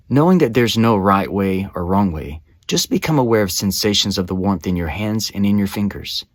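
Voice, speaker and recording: A man narrating a scripted guided meditation as a guide, with measured, soothing, instructional phrasing. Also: lowish voice, no echo.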